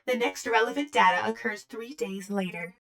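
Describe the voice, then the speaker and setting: A woman voicing a matter-of-fact archive computer in an audio drama, giving system announcements with a creaky voice. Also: mid-range voice, normal speed, recorded from a distance, heavy background noise, natural voice. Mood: flat, procedural.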